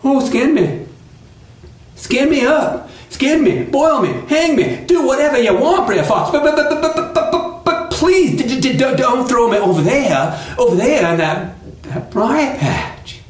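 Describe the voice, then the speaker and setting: A man's voice: very high-pitched, very animated, a little slow, roomy sound, voice pushed up, heavily acted. A man voicing a frantic, hot-tempered trickster rabbit in a folk tale, stammering and repeating his words. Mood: begging, feigned terror.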